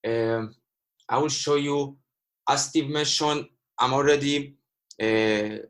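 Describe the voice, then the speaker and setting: A man in his twenties from Cyprus whose first language is Greek, speaking casually and earnestly to livestream viewers as a guest trader. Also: medium pitch. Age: 20s. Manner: earnest, repeats himself, addresses viewers as guys.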